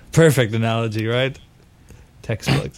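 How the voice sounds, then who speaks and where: A man's voice: mid-range voice, creaky. A man co-hosting a podcast, bantering casually and teasing the others. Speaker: podcast co-host. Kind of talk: casual chat.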